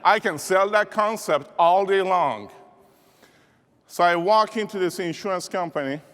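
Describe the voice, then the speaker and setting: Energetic Persian-accented man, hall speech. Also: high voice, a little slow, clean tone. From Iran. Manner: rallying, call-and-response with audience. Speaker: motivational speaker. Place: large conference hall. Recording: reverberant hall.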